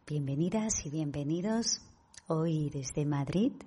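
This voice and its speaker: Spanish lady from spain doing guided meditation, super breathy husky voice, mellow and calm, takes her time with pauses. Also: sits low. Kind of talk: guided meditation talk to an online audience.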